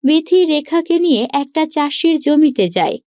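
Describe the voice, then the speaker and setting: A woman's voice: sits high, expressive, moderate pace, darkish tone. A woman reading scripted narration as a storyteller for a fairy tale.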